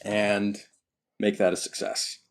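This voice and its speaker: A man chatting casually and haltingly as a player at a tabletop game. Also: lowish voice, completely flat delivery.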